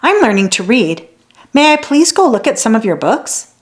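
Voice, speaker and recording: An earnest young girl from a fantasy storybook, voiced by a woman reader. She speaks politely, slightly high, with plenty of rise and fall. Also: big pitch swings, normal speed. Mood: polite, hopeful.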